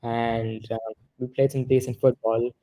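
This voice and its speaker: A man's voice: mid-range voice, little pitch movement, measured pace, dark tone, low-quality recording. A man co-hosting a casual football discussion, rambling and often correcting himself mid-sentence. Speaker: football podcast co-host.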